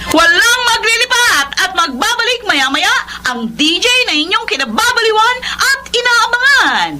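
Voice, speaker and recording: Casting: sweet excitable young Filipina daughter, very high girlish voice, radio drama, noisy audio. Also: normal speed. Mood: perky, proud. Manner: bubbly, girlish, emotive.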